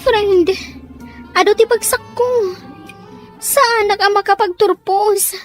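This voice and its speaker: A woman voicing a petulant, anxious high-school daughter in an audio drama, whiny and pouting, with an Ilocano Filipino accent. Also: steady tone, takes it slowly, faint music behind. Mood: anxious, tearful.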